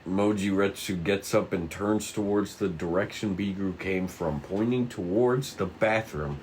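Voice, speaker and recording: A man narrating stage directions at a table read, haltingly and stumbling over the script now and then. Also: low-pitched, darkish tone, a little hiss or hum.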